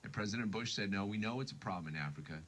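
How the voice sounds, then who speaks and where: An American man hosting a morning radio show in unscripted chat, telling stories and acting out reactions in an animated way. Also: on the low side, racing. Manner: animated storytelling, voices quoted reactions. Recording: noisy recording of radio playback.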